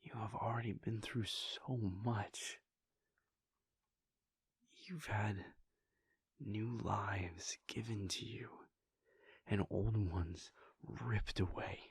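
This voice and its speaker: A man voicing a weary, devoted male vampire prince in a soft, intimate whisper, speaking in slow, halting, heartfelt confessions. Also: fairly low pitch, fairly even intonation, slightly rough, no echo, heavily acted. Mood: tender, hushed. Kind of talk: whispered audio roleplay.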